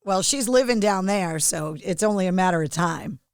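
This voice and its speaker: A woman's voice: on the low side, normal speed, clean tone, no echo, studio quality. A woman hosting a casual interview, laughing often and cutting in with playful quips. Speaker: podcast host.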